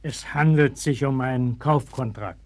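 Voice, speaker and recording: A man playing a stern, compassionate prophet in a radio play, speaking in a solemn, measured, declamatory way. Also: medium pitch, a little mellow, natural voice. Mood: quiet, firm. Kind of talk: German radio play acting. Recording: early 1960s vinyl radio-play record.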